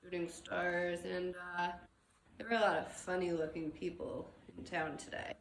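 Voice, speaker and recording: A woman's voice: lowish voice, fairly even intonation, vocal fry. A woman acts out a nervous middle-aged suburban husband from a storybook. He halts and mumbles, straining to seem casual, in a roomy, echoing space. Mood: nervous, hesitant. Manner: halting, mumbling, falsely casual. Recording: roomy echo with faint background noise.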